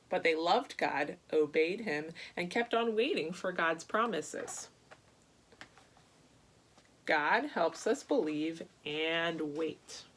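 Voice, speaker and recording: A woman speaking to young children as a church storyteller, reading aloud and chatting in an animated way with simple questions. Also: on the low side. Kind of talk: read-aloud children's Bible story and chat to kids.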